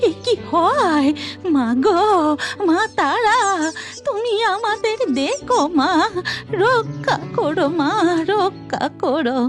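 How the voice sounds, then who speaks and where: Woman as a village mother, drawn-out speech, high voice, prayerful, emotional, cartoon drama. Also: lively intonation, music in the background, heavily acted. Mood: pleading. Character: devout, emotional mother of a poor fisherman.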